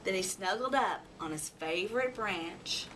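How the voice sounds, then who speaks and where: A woman narrating a children's storybook in an expressive, dramatic way. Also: mid-range voice, unhurried. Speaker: storybook reader. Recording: distant mic, faint background noise.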